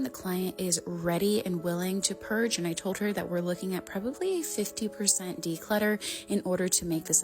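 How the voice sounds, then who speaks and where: A woman delivers scripted explanatory narration as a professional organizing coach. Her voice is slightly low for a woman, and music plays clearly behind her.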